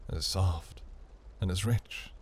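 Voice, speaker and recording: A man voicing a seductive, imperious demon prince in a fantasy audio roleplay, velvety, teasing and grandiose, with a breathy, gravelly murmur. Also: deep voice, slow, darkish tone, slight background noise. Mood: amused admiration.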